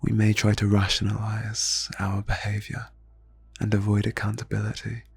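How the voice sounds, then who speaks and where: A man leading an ASMR guided meditation in a soft, breathy near-whisper, phrasing slowly and soothingly with long pauses. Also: on the low side, completely flat delivery. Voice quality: breathy, hushed.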